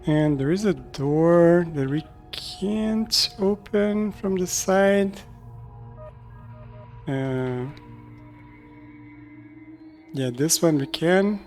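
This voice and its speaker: A man in his thirties gives casual gameplay commentary as a developer and streamer. He speaks at a slow pace in a low-key way, thinking aloud, with music faintly behind him. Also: lively intonation, a little mellow.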